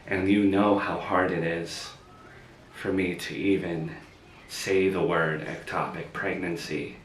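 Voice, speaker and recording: A man playing a hurt, guarded young woman who speaks quietly and bitterly in a comedic skit. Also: low voice. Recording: roomy, distant mic, faint hiss.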